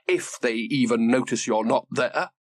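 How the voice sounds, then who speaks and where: A man voicing a brisk, mischievous older grandmother in a children's story, emphatic and opinionated. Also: medium pitch, no room sound, natural voice.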